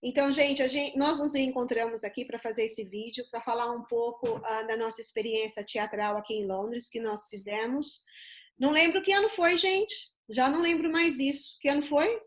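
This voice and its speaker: A woman's voice: a little high, moderate pace, a bit bright. A woman from Brazil hosting a casual video-call chat, asking her guests questions as she reminisces. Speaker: host. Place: home in London.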